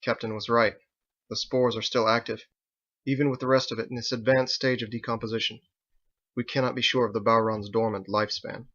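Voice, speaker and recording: A man's voice: mid-range voice, little pitch movement, fairly quick, fairly bright tone, dull audio, lightly acted. A man voicing a grave, formal woman in an audiobook, speaking precisely and tersely. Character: grave, formal woman scientist, stern and stoic. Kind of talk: audiobook character acting.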